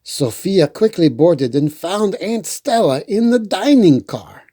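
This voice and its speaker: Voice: mid-range voice, big pitch swings, takes it slowly, mellow, dry recording, clean studio sound. A children's storytime host reading a picture book aloud expressively, with playful asides to the listeners.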